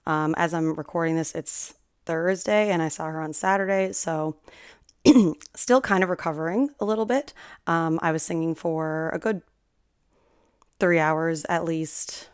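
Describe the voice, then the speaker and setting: A woman in her twenties, slightly hoarse, hosting a solo podcast in a chatty, rambling, big-sister way. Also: sits low, fairly even intonation, unhurried, no room sound, clean studio sound. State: slightly hoarse from singing at a concert. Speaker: podcast host. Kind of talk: casual solo podcast chat.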